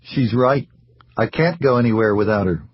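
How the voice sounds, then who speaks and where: A man's voice: fairly low pitch, moderate pace, husky. A man doing a dull, mumbling depressed husband. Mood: dull, plaintive. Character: depressed, timid, passive middle-aged husband.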